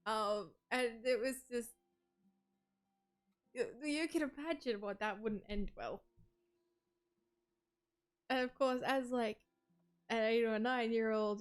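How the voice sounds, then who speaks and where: A woman's voice: on the high side, takes it slowly, pauses often, smooth. A woman chatting casually on a livestream, rambling with lots of "like" and "um" and laughing often as she reads out viewer messages.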